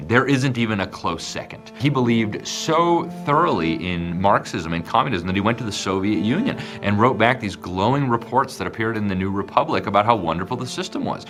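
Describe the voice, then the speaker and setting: A man speaking firmly in a documentary interview, explaining his view. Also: fairly low pitch. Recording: music bed underneath.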